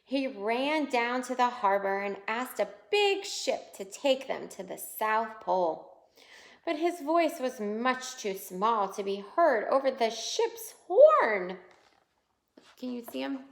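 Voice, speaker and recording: A woman with a high voice reads a children's picture book aloud. Her delivery is slow, with big swings in pitch, and she breaks off to point things out to young listeners. The room adds a noticeable echo. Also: very animated, creaky. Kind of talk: children's read-aloud storytelling. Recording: roomy, echoing indoor sound.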